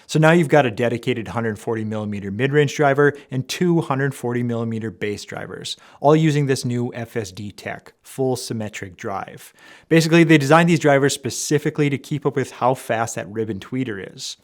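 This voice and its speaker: A man presenting a semi-scripted product review as an audio equipment reviewer, explaining in a measured way and stressing key words. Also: medium pitch.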